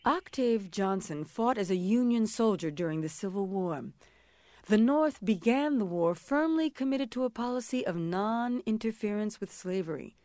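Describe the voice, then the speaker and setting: A woman narrator with a very mellow, relaxed tone and medium pitch. She reads a scripted history in deliberate, formal phrases. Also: dry recording, studio quality.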